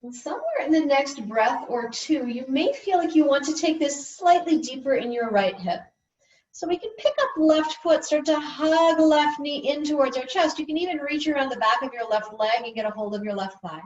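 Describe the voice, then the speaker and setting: Female yoga teacher, soothing and gently instructive, recorded from a distance with slightly muffled sound. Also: high voice, lively intonation, moderate pace, echoey room.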